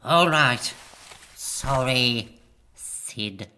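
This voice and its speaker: A man's voice: mid-range voice, very slow, pushed and bright, clean tone, full character voice. A man playing a pompous, irritable British lord in a comic period audio drama, full of florid, scornful disdain. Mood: grudging. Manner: pompous, florid archaic insults. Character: haughty, irritable lord who scorns peasants.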